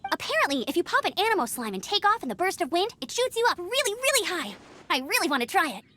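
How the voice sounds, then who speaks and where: A woman's voice: very high-pitched, sing-song, fast talker, bright, forceful tone, heavily acted. A woman playing an eager, upbeat young female knight and gliding instructor in an anime-style video game, with a peppy, earnest delivery. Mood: excited. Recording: music behind the voice.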